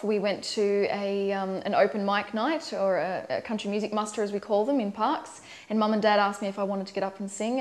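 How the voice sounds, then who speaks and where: A young Australian country singer talking casually about her life and her new album, with many ums and uhs. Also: medium pitch. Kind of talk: promotional interview monologue.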